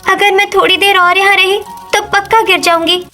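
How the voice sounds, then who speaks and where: A woman's voice: very high-pitched, little pitch movement, moderate pace, pushed and bright, soft background music. A woman voicing a harried young village daughter-in-law in a cartoon, plaintive and scolding.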